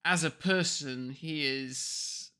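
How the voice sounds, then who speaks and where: Bright, high-voiced man chatting casually. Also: slow, clean tone. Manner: sweary, colloquial. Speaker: podcast co-host. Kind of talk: casual commentary chat.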